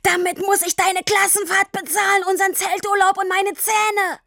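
A woman voicing a hot-tempered, hurt father in a dramatic audiobook, angry and hissing or shouting. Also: medium pitch, steady tone, normal speed, dry recording, studio quality, soft background music, natural voice. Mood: furious. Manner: shouting in anger, hissing through his teeth.

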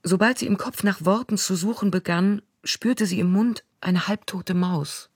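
A voice-over reader performing prose excerpts in a quiet, reflective, unhurried way. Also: medium pitch, breathy edge, dry recording, clean studio sound. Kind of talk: literary reading of prose excerpts.

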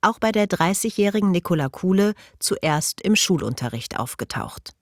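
Measured documentary narrator, dry sound. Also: medium pitch, animated, no echo. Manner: measured, formal reading. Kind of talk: scripted narration.

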